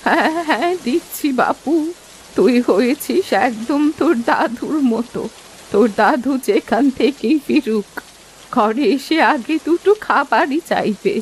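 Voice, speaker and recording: A woman's voice: high voice, animated, a little slow, a little hiss or hum, poor audio. A woman voicing a doting old village grandmother in a cartoon, fussing and scolding affectionately in homely speech. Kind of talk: cartoon voice acting. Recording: music under the voice.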